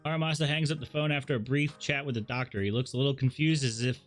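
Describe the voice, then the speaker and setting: A male livestreamer plays through a game, giving casual, reactive commentary and reading narration aloud. His voice sits at a middle pitch, with faint game music behind it. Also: steady tone, fast. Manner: informal, reactive.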